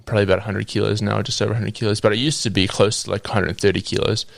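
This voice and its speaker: Low-voiced hesitant young New Zealand man. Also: little pitch movement, rapid-fire, gravelly, no room sound, clean studio sound. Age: 20s. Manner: hesitant, with frequent ums and laughs. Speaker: podcast guest. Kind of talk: sharing a personal faith testimony.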